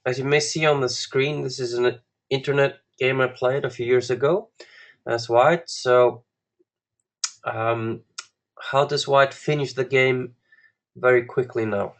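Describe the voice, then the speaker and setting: A man with an Icelandic accent speaks as a coach guiding students through an online lesson. His delivery is slow and deliberate, broken by long pauses as he picks his words. His voice sits on the higher-pitched or middle side of neither extreme, an ordinary male register. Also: fairly even intonation, a bit bright. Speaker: guest chess coach.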